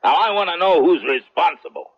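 A man's voice: a little high, lively intonation, fairly quick, husky. A man playing a stern, demanding rancher with a grievance in a vintage radio drama. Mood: indignant. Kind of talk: late 1970s radio drama acting. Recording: muffled old radio recording.

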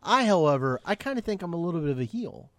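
A man co-hosting a casual podcast, excitable and rambling, with a fairly high voice. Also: quick pace, dry recording. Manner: excitable, rambling, talks in bursts. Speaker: podcast co-host.

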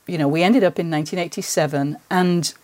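A woman's voice: on the low side, quick pace, a little mellow. A woman hosting a podcast interview, asking questions in a conversational way.

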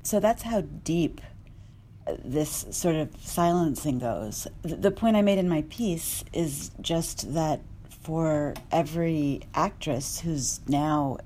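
A woman journalist speaking earnestly on a discussion panel, measured and with small restarts. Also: deep voice, mellow, a little hiss or hum.

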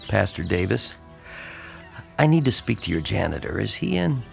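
A man's voice: lowish voice, very animated, normal speed, vocal fry, soft background music, full character voice. A man playing a dogged, wry newspaper reporter in an audio drama. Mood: probing, polite.

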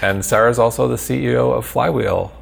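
A man's voice: medium pitch, fairly quick, vocal fry, a little hiss or hum. A man hosting an interview podcast, enthusiastic and full of praise for his guest. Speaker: podcast host.

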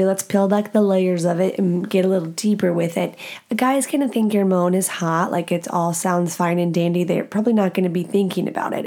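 Deep nasal woman, blunt and profane. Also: mellow. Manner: blunt, profane, self-mocking. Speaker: podcast host. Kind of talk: casual comedic monologue.

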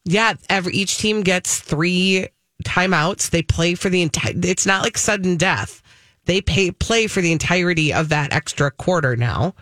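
A woman's voice: on the low side, normal speed, a bit bright, no echo. An American woman co-hosting a radio show, telling stories in a casual, chatty and emphatic way. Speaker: fill-in radio co-host.

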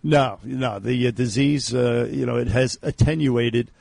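A man with a raspy, gravelly voice holds forth on talk radio. He talks bluntly, rambling through fillers and restarts. The studio sound is dry and close. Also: mid-range voice, unhurried, a little mellow, no echo. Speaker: radio co-host. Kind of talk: opinionated talk radio chat.

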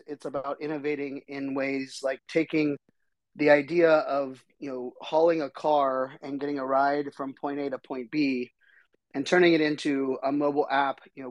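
A middle-aged man with a slightly hoarse voice, hosting a podcast in casual, rambling talk with lots of fillers. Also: mid-range voice, dry recording, rough recording. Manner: rambling, chatty, many fillers. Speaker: podcast host.

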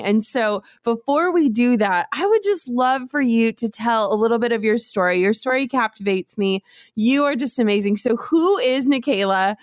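A woman speaks as a podcast host in a conversational interview style. Her voice sits a little high and her manner is enthusiastic and gushing. The sound is very dry, free of room echo.

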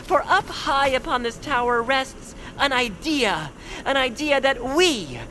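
A woman's voice: high voice, lively intonation, a little slow, fairly bright tone, a little hiss or hum, low-quality recording. A woman voicing a timid, earnest, wordy young bird creature in a cartoon audio drama. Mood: earnest, solemn.

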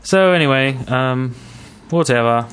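A man's voice: mid-range voice, measured pace, a bit bright, clear, resonant tone. Casual male podcast co-host, hesitant and meandering. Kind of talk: casual gaming podcast chat.

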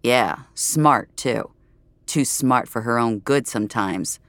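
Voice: medium pitch, takes it slowly, a bit bright, no echo. A narrator voicing a bitter, apologetic male celebrity entertainer in an audio drama. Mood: bitter, rueful.

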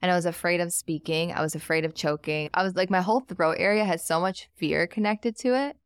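A woman's voice: lowish voice, brisk, smooth, no echo, clean studio sound. A woman talking in an earnest, reflective and emphatic way as a podcast guest in casual conversation. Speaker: podcast guest, wealth coach.

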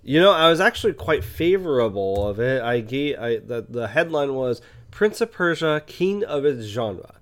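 A man co-hosting a film podcast in casual conversation, often halting mid-thought as he talks. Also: medium pitch, sing-song. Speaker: podcast co-host and film reviewer.

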